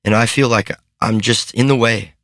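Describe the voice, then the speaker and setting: A man's voice: mid-range voice, fairly even intonation, moderate pace, a bit bright, no room sound, clean studio sound, pitched above the performer's own voice, close to an everyday voice. A man voicing a timid young boy who talks in a hesitant, self-doubting way. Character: timid, tearful young boy, unsure of himself.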